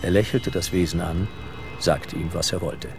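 Male narrator, low voice, measured, suspenseful, dramatic audiobook style, music underneath. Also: steady tone, gravelly.

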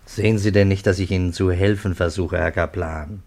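A man's voice: low voice, steady tone, a little fast, darkish tone. An actor playing a sarcastic, probing police detective who presses his questions in a 1950s German radio drama. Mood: quietly probing. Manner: pressing, sardonic, rhetorical questioning.

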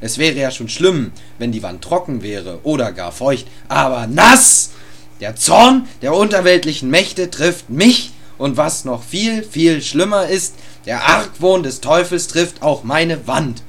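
Cranky creature in a homemade radio play, cursing and ranting, played by a man, unhurried and lively in pitch. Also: heavily acted. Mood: furious. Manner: ranting, swearing, exasperated. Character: grumpy male creature fussing over his wall.

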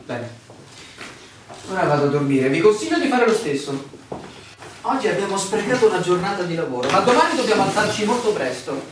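A bossy, sardonic male surveyor, with a fairly high voice that swings widely in pitch. His delivery is theatrical and commanding, as in a radio play. It sounds very echoey and off-mic. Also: very animated, fairly quick, long pauses. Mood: dry, bossy. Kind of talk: stage-like radio drama acting. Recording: echoey room, distant mic, background noise.

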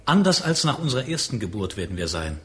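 An actor playing a solemn, pious man who prophesies in slow, incantatory, sermon-like radio drama speech. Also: mid-range voice, big pitch swings, fairly bright tone. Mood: solemn, reverent. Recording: faint background noise.

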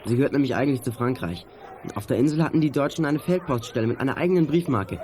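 An actor playing an earnest, know-it-all teenage schoolboy in a youth audio drama, explaining things matter-of-factly. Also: male voice, medium pitch, animated, fast, warm tone, some chatter behind, lightly acted. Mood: keen. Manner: matter-of-fact, lecturing.

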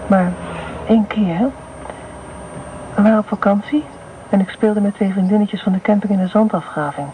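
An actress playing a fearful, earnest woman recalling childhood terror in a radio play, speaking quietly and intensely. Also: mid-range voice, steady tone, moderate pace, pauses often, slight background noise, lightly acted, low-quality recording. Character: fearful woman recalling being buried in sand.

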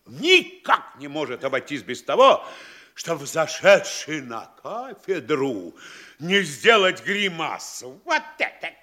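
Bossy mayor, slow, sweeping animated pitch, slightly high. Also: male voice, heavily acted. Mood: lecturing. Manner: lecturing, scolding, theatrical. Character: pompous self-important male town mayor, middle-aged. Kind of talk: classic stage radio play acting.

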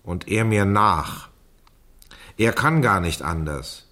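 An actor playing a man who recites a written plan aloud in a radio play, deliberate and pointed. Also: on the low side, lively intonation, sharp tone, exaggerated voice. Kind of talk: 1970s German radio play, reading aloud.

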